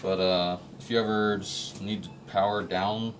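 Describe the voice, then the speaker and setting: A man with a very deep voice talks through equipment in a plain, practical way, one step at a time. He sounds like an installer showing staff the ropes. He is picked up from a distance, with clear room noise around him. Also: fairly even intonation, a little slow. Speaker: installer explaining a system to staff. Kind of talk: informal hands-on equipment walkthrough. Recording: noisy room, distant microphone.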